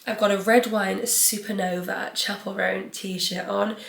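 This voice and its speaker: A woman's voice: mid-range voice, level tone, measured pace, creaky, a bit bright, reverberant, slight background noise. A young woman hosting a podcast solo, chatty and rambling, sounding stuffy and sniffly from a cold. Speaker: podcast host. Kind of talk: casual solo podcast chat.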